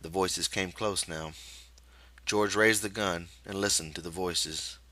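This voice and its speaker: A man reading a novel aloud as dramatic, measured, suspenseful scripted narration. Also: low-pitched, steady tone, bright, forceful tone, no echo. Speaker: audiobook reader.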